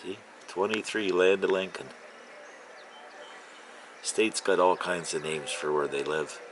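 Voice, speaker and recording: A man giving casual, rambling, unhurried vlog commentary, talking to himself and his viewers as an amateur hobbyist. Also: deep voice, slight background noise, poor audio. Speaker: amateur vlogger and ham radio hobbyist.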